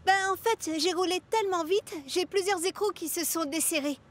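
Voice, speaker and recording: A busy, friendly cartoon lady locomotive. The voice sits extremely high. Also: moderate pace. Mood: chatty.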